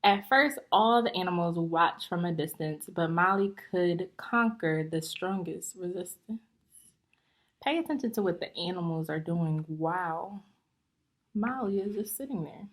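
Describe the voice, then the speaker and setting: Slow-paced woman reading aloud to children. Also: on the low side, animated. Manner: deliberate, instructive, pausing to explain and ask questions. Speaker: teacher reading aloud to students. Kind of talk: picture book read-aloud with explanations and questions for children.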